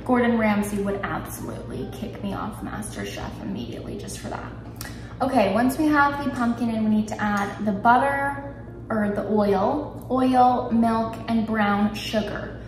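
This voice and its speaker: A woman's voice: on the high side, measured pace. Chatty young woman in a roomy kitchen. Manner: chatty, self-deprecating, talks while cooking. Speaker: lifestyle vlogger. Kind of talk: casual baking vlog chat. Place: home kitchen, indoors. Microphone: camera on the counter a few feet away. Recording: roomy kitchen sound, mic at a distance. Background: background music.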